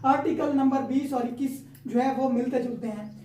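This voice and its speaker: A woman's voice: sits high, steady tone, normal speed, dark tone. A woman with a Pakistani accent giving an informal explanatory law lecture, teacherly, repeating her points and checking in often. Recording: echoey, roomy sound.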